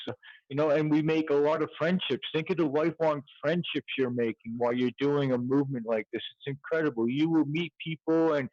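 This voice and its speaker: A hoarse man chatting casually and passionately on a livestream panel, rambling, swearing and using slang. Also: fairly high pitch, a little mellow, no room sound. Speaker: activist journalist on a livestream panel. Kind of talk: casual impassioned panel chat. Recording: dull lo-fi stream audio.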